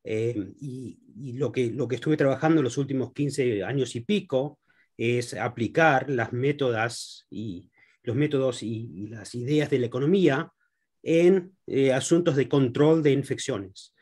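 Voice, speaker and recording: A man who grew up in Argentina, a guest economist in an interview, speaking haltingly with many fillers and restarts. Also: mid-range voice, a little mellow, slightly rough. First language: Spanish.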